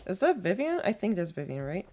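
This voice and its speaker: A woman's voice: mid-range voice, very animated, a little fast, a little mellow, a little hiss or hum. A woman with a mixed Polish and British accent that sounds American, streaming a game, thinking aloud and reading in-game notes with small slips. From Poland.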